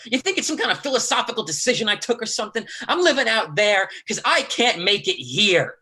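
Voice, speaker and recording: Guy reading a pushy older brother in a play, slangy drifter, sharp husky voice. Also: high-pitched, sing-song, a little fast, exaggerated voice. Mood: exasperated. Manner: badgering, repetitive, folksy slangy speech.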